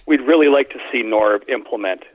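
A man chatting about sports on a podcast, lively and quick to imitate the people he talks about. His voice comes through a narrow, telephone-like band and sounds close and dry, with no room around it. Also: medium pitch, no room sound. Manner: animated, mimics players' quotes in asides. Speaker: podcast co-host. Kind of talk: casual sports chat.

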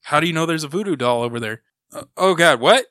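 A man's voice: on the high side, lively intonation, quick pace, a bit bright, dry recording, clean studio sound. A man co-hosting in a casual chat, with a joking, offhand way of talking. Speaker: podcast co-host.

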